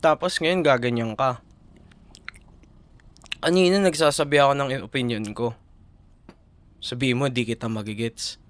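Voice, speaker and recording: A man's voice: medium pitch, a little slow, long pauses, natural voice. A man acting as caring, exasperated young Filipino boyfriend. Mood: frustrated, hurt. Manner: casual Taglish, coaxing and earnest. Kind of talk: boyfriend roleplay audio, intimate conversational acting.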